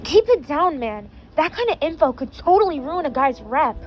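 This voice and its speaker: A woman's voice: high voice, very animated, normal speed, muffled recording, slight background noise. Woman reading as a snappy teen boy. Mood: annoyed. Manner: snappy, read in the reader's own voice. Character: image-conscious teen boy, bossy and fretful.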